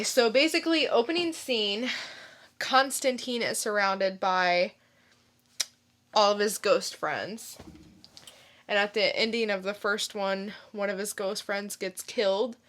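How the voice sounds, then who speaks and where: A woman's voice: a little high, a bit bright. A woman co-hosting a podcast, talking casually and giving informal recaps. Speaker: podcast co-host. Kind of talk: casual chat and informal review.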